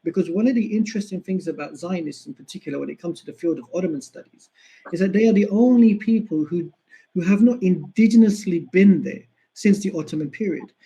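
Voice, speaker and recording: Man, earnest lecture, mellow tone, slightly high. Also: fairly quick, poor audio. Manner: earnest, emphatic repetition. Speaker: lecturer on history. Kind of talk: explanatory lecture.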